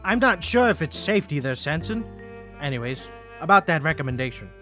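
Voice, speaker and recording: A man's voice: on the high side, sing-song, brisk, sharp tone, soft background music. A man playing a suave, flirty mafia boss in a cartoony 1950s voice, speaking politely and self-assuredly in a scripted roleplay. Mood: smooth, self-assured.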